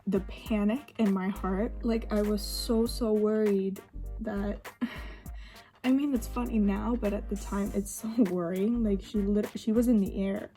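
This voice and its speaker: A young woman from Sweden, a native Swedish speaker, rambles in English while she gets ready, full of "like" and little laughs. Her voice sits mid-high and sounds mellow and soft. Faint music plays in the background. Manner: rambling, lots of fillers and laughs. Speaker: vlogger. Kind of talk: casual chat while getting ready.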